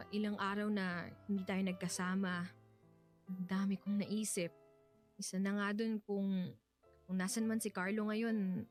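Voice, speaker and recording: A woman with a Filipino accent playing a tender widow in a radio drama, softly and hesitantly opening her heart to love again. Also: medium pitch, lightly acted. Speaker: radio drama actress and narrator.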